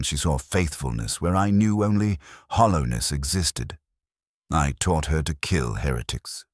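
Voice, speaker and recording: A man giving a solemn, measured, scripted first-person narration of a dramatic story. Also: rumbling low, dry recording, clean studio sound.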